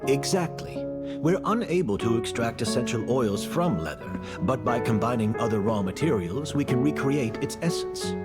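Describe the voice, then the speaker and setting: A man voicing a patient, wise master perfumer in a game, who explains things slowly and gently like a teacher. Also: medium pitch, lively intonation, lightly acted. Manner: slow, gentle, instructive. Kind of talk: game voice acting. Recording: clean studio voice over loud music.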